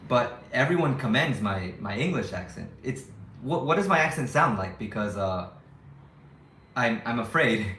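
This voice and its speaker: A man's voice: mid-range voice, pushed and bright, reverberant, a little hiss or hum. A young man vlogging to his viewers in a casual, rambling way, often restarting his sentences and second-guessing himself. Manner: rambling, self-questioning, frequent restarts. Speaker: YouTuber living abroad. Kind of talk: casual vlog talk to viewers.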